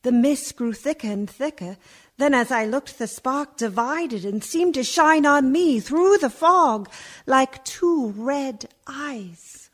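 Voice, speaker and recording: A woman plays a haunted young Victorian woman in a radio drama. Her voice is fairly high and slow, dreamy and dramatic, as if held under a spell. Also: lively intonation, heavily acted. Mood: entranced dread. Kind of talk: radio play acting.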